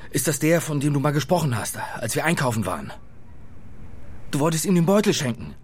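A man's voice: fairly high pitch, very animated, a little fast, husky. A man playing a worried, flustered father in a radio play, hesitant and stammering as he talks. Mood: flustered, uneasy. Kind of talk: naturalistic radio play acting.

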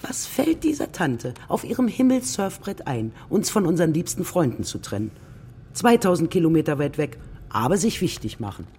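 Feisty, low-voiced old grandmother. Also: very animated, normal speed, warm tone, slight background noise. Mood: wry, indignant. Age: older. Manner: wry, indignant, colloquial. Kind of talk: children's radio play acting.

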